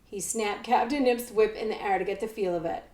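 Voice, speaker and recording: A woman reading a children's storybook aloud as a librarian, with expressive narration. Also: medium pitch, brisk. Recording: echoey room.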